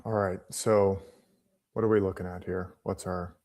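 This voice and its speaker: Low-voiced man explaining step by step. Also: flat delivery, normal speed, darkish tone. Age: late 20s. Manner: patient step-by-step explaining, restarts phrases. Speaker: co-host of a live trading stream. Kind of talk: casual live-stream explaining.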